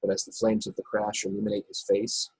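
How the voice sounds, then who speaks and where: A man with a husky voice reading stage directions as narrator of a script table read over a video call. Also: fairly low pitch, monotone, fairly quick, darkish tone, dry recording, low-quality recording. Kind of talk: scripted narration with occasional stumbles.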